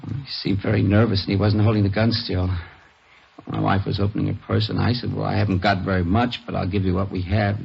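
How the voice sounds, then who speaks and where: A man's voice: on the low side, flat delivery, brisk, creaky, breathy edge, exaggerated voice. A man acting in an old radio crime drama as a shaken husband giving his account to police. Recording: dull old radio recording.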